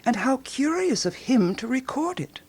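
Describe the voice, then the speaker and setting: Radio drama: ruminative female amateur sleuth, theorizing aloud, very animated intonation, deliberate dramatic pauses. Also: high voice, breathy edge, heavily acted. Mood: intrigued, brooding.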